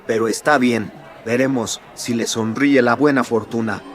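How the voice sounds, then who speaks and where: Guy doing a smug polite card player for a radionovela, medium pitch, processed sounding voice. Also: fairly even intonation, normal speed. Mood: coolly courteous. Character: smug, formal male card player, coolly courteous. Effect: voice processed with filters. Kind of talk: horror radionovela acting.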